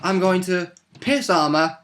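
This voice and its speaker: A man's voice: high-pitched, a little slow, a bit bright, a little hiss or hum. A man who plays tabletop role-playing games, casually retelling his turns in an informal, chatty way. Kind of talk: casual recap of own game turns.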